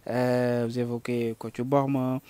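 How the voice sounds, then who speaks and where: A man's voice: mid-range voice, little pitch movement, takes it slowly, mellow, clear, resonant tone, no echo. A man interviewing an author on television, asking his questions hesitantly, with many fillers and repeated words. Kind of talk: studio interview.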